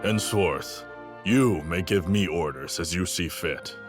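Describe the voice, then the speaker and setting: Solemn, low-voiced royal retainer. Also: male voice, a little slow, music in the background. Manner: formal, courtly. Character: solemn, loyal male royal retainer and commander. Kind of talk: video game cutscene acting.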